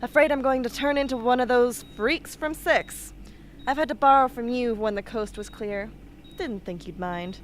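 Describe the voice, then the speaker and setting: A woman's voice: on the high side, moderate pace, lightly acted. A woman playing a blunt, sardonic, tough young patient in an amateur radio drama, with a mocking delivery. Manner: sarcastic, mocking. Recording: noisy amateur radio play recording.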